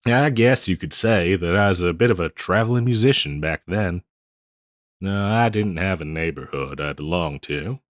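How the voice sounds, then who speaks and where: Guy doing an old west bartender, real low voice, folksy n teasing, rambles on, close dry roleplay audio. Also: no room sound. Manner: folksy, rambling, chuckling drawl with hesitations. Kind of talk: intimate scripted roleplay audio, addressed to listener.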